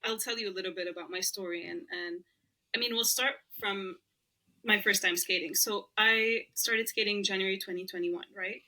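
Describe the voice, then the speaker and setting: A young woman with a bright, clear voice. Her is Arabic, but she talks easily in English. She is chatty and laughs often as a podcast guest. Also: medium pitch, steady tone, normal speed, low-quality recording. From Lebanon. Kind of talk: casual interview chat.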